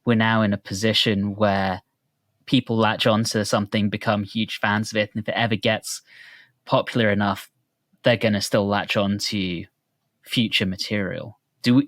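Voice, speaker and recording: Man, lower pitch, dry studio-like sound, casual discussion, reflective, explanatory. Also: fairly even intonation, normal speed, fairly bright tone, dry recording. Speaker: podcast host.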